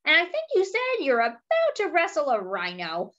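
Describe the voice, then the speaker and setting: A woman's voice: sits high, huge pitch swings, moderate pace, a bit bright, lo-fi sound, voice pushed up, full character voice. A woman voicing a small, sly monkey trickster in a children's picture book, giggling teasingly before making suggestions. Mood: mischievous.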